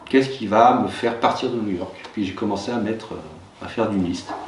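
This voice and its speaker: Deep-voiced middle-aged man, hesitant and reflective. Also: slight background noise. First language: Russian. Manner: hesitant, many pauses and fillers. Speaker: teacher being interviewed. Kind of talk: reflective personal recollection. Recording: roomy, distant mic with faint ambience.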